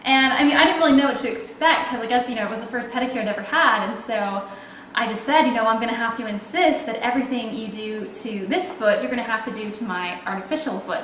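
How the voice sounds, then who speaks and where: A woman's voice: on the high side, fast, a bit bright, a little hiss or hum. Chatty young Canadian woman, echoey room. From Toronto, Canada. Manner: chatty, explains with many fillers. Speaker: athlete video blogger. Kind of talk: casual video blog talk.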